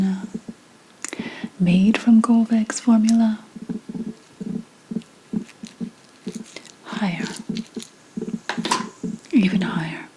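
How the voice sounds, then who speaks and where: Slow whispering witch, played by a woman. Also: lowish voice, a little mellow, slight background noise, low-quality recording. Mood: scornful. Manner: slow, deliberate, scornful whispering with pauses and sighs. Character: indignant 17th-century witch, bitter potion-maker and healer. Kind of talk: whispered ASMR roleplay.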